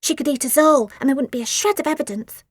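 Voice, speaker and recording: A woman's voice: high-pitched, quick pace, no echo, clean studio sound, pitched above the performer's own voice, natural voice. A woman putting on a sneering snooty teen girl. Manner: sneering, drawling. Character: snooty sarcastic teenage girl.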